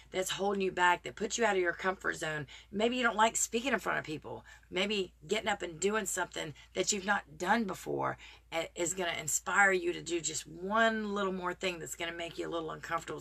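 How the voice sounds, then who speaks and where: A woman talking earnestly and encouragingly to viewers in a casual live video, greeting them by name, her voice a little raspy today. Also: fairly low pitch, bright, forceful tone. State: slightly raspy voice. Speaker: motivational live streamer. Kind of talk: casual motivational talk to viewers.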